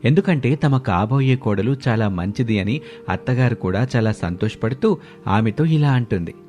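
A man's voice: mid-range voice, expressive, normal speed, darkish tone. A man narrating a scripted moral story as a storyteller. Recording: background music under the voice.

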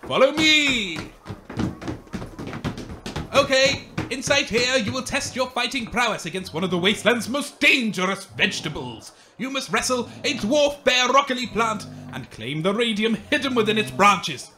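A man's voice: very high voice, animated, normal speed, pushed and bright, slight background noise, full character voice. A man playing a flustered, exasperated game show host who scolds his contestants, in a comedy audio drama. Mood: brisk.

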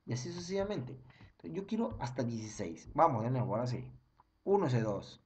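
A man's voice: mid-range voice, very animated, a little fast, darkish tone. A young man, teaching an online chemistry class over slides and counting through each step again and again. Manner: repetitive, step-by-step counting, frequent "ojo" and "ya".

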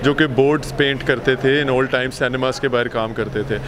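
A man's voice: mid-range voice, steady tone, moderate pace. A man in his twenties with a Pakistani accent, explaining in an interview and mixing in English phrases. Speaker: art gallery CEO, interviewee. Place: indoor expo art stall. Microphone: handheld reporter microphone. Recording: noisy exhibition hall ambience.

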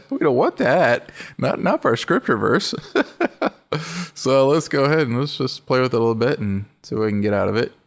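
A middle-aged man with a low voice hosting a casual music-production walkthrough, relaxed and rambling, often correcting himself mid-sentence. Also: normal speed. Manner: relaxed, rambling, self-correcting, laughs easily. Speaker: music production tutorial host. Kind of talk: casual screen-recorded walkthrough.

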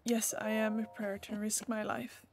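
A woman's voice: mid-range voice, flat delivery, normal speed, a little hiss or hum. A young woman with a Dutch accent streaming a game, casually and sarcastically talking back to the characters. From Netherlands. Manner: sarcastic, chatty, jokes at the game. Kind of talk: casual gameplay commentary.

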